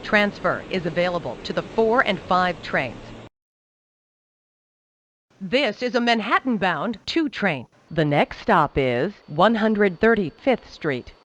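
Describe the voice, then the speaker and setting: A woman giving recorded train announcements in a measured, formulaic announcer delivery. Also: medium pitch, animated, a bit bright. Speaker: transit announcer.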